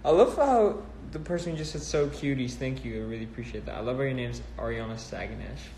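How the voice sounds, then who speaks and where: A man's voice: mid-range voice, fairly quick. A young man from the Netherlands, chatting casually and laughing as he answers viewers on a phone livestream in his apartment. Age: young adult. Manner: relaxed, easygoing, laughs often, answers viewer questions. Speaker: livestream host. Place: bright apartment room indoors. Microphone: phone at arm's length. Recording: roomy echo, faint background hiss.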